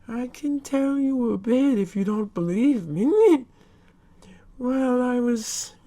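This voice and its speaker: A woman's voice: high-pitched, warm tone, full character voice. A woman playing a sadistic killer clown monster in a horror broadcast drama, with childlike mock innocence and giggling menace. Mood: giggly, taunting. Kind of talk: horror monologue in a fictional broadcast hijack.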